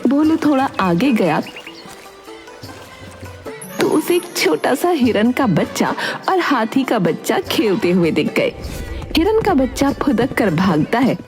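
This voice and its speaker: Very animated woman narrating a cartoon story. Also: on the high side, unhurried, soft background music.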